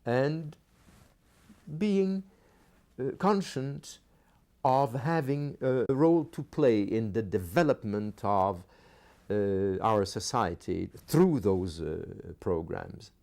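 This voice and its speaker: An older man reflecting in an interview as a veteran performer, halting and measured, with formal wording. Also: medium pitch, lively intonation, dark tone.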